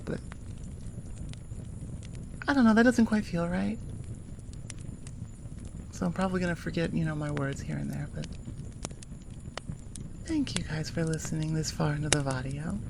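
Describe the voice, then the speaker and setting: A woman's voice: deep voice, vocal fry, noisy background. A woman audio creator chatting casually to her listeners in an informal, self-deprecating, rambling way. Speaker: ASMR audio creator and host.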